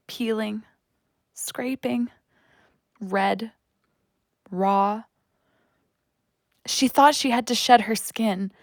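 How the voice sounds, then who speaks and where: A woman's voice: medium pitch, no room sound, clean studio sound. A woman playing an earnest, wounded young woman who is friendly and seeks connection, confiding in an audio drama.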